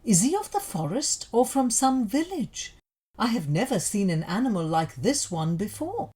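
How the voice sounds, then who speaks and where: A woman's voice: a little high, very animated, moderate pace, mellow, husky, pitched above the performer's own voice. A woman voicing a noble, hospitable male lion leader in a stately, formal, earnest way for a storybook. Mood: curious.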